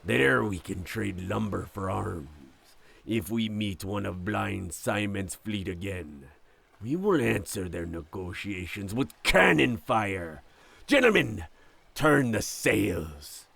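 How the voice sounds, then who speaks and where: Man playing a very gravelly, stern ship's captain. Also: lowish voice, animated, takes it slowly, fairly bright tone, full character voice. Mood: commanding. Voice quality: gravelly, husky. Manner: grave, commanding. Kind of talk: audio drama acting.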